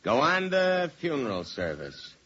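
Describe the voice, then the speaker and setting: An actor playing a surly, sarcastic working-man hearse driver in a gruff, needling 1950s radio drama style. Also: medium pitch, huge pitch swings, a little slow, a bit bright, exaggerated voice. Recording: dull, slightly noisy vintage radio recording.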